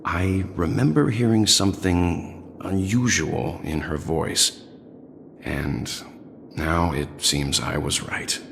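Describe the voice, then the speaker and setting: A man voicing a composed, serious, thoughtful companion character in a video game, speaking in a measured, reflective way. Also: low-pitched, vocal fry, fairly bright tone, a little hiss or hum, heavily acted. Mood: pensive, serious.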